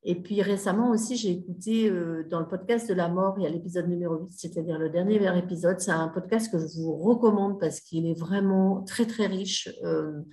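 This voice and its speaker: Middle-aged woman, lowish voice, hesitant talk full of fillers, echoey room. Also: moderate pace, hardly any pauses, warm tone. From Australia. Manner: hesitant, many fillers and restarts. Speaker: grief mentor and healer. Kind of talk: casual spoken talk to an audience.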